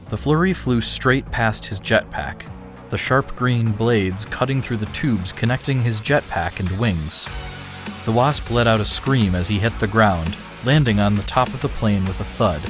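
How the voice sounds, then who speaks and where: A man reading an action story aloud with a steady, dramatic delivery. His voice sits low, and clear music plays underneath throughout. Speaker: narrator. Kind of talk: scripted story narration.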